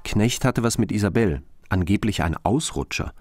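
A low male voice gives us a dogged, troubled detective in a crime radio play. He speaks over faint music. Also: expressive, normal speed. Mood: grave, matter-of-fact. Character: dogged, troubled male police investigator named Tobias. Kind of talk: German crime radio play, dialogue and narration.

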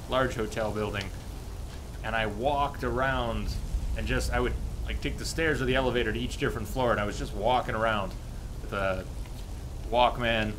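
A man in his thirties with a fairly low voice chats casually as he plays. He thinks aloud with pauses, easygoing throughout. Game ambience is clearly audible behind him. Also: noisy background. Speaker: live game streamer. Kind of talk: casual gameplay commentary, chatting with viewers. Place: indoor room, streaming at a desk.

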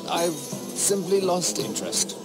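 A man's voice: high voice, slow. A man playing an evasive, secretive noble trader in a dramatic video game. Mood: coyly evasive. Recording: loud music over dialogue.

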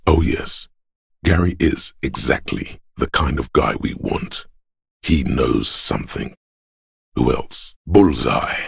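A menacing, brooding inner voice from a video game, speaking from the very bottom of the male register. The delivery is slow and solemn, thick with gravelly creak. It coaxes and commands like dramatic narration, gruff and deliberate. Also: no echo, clean studio sound, exaggerated voice. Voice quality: very deep, gravelly, husky rasp. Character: gruff, menacing inner voice of a man's body, reactionary, coaxing.

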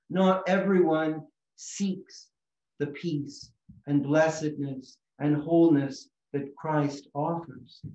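Middle-aged priest preaching in an echoey room. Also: male voice, a little high, dark tone. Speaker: Orthodox priest. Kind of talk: sermon. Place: small room chapel in a house, indoors. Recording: echoey room, slightly dull sound.

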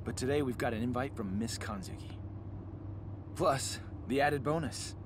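A man's voice: mid-range voice, normal speed, noisy background, natural voice. An actor playing a brash, good-natured male fighter and father in a video game cutscene. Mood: playful, eager. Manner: brash, confident.

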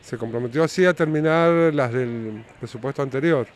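A man's voice: medium pitch, moderate pace. A man in his fifties with an Argentine accent gives an improvised interview answer as a local councillor, outdoors into a handheld microphone. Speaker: city councillor being interviewed. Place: outdoors by a building. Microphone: handheld reporter microphone. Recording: outdoor street noise with vehicles.

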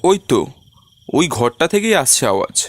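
A man's voice: on the high side, big pitch swings, normal speed, a little hiss or hum. A man playing a frightened lodger who tells his own ghost story in a dramatic horror cartoon style. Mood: uneasy. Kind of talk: dramatised first-person horror cartoon narration. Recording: music under the voice.

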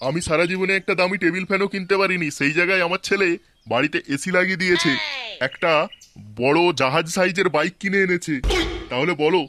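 A man voicing excitable, grumbling village men in a colloquial style for a comedy cartoon. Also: sits high, quick pace. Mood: proud. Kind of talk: Bengali comedy cartoon voice acting.

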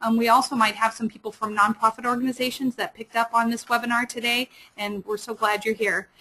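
A woman with a Midwestern accent presents a webinar, speaking quickly and stopping often on fillers and restarts. Her voice sits slightly high. She sounds like a librarian walking an audience through her slides. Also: little pitch movement, bright, forceful tone, rough recording. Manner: fast-talking, many fillers and restarts. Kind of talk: webinar lecture.

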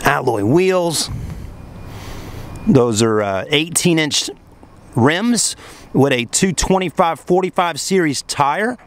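A man's voice: fairly high pitch, lively intonation, takes it slowly, pauses often, slight background noise. A man in his thirties giving a casual, enthusiastic walkaround of a car, camera held close. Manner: enthusiastic, rambling, lots of fillers. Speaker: car dealership vlogger. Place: outdoors in a parking lot and an open car.

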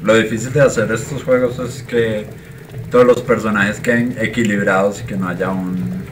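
A man's voice: fairly low pitch, fairly even intonation, moderate pace, slight background noise. Grumbling Colombian man streaming a game. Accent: Colombian Spanish with Argentine mix. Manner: grumbling, chatty, reacting to play. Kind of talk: casual live-stream commentary. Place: small room at home. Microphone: cheap desk mic. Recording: game music under a cheap mic. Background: game music.

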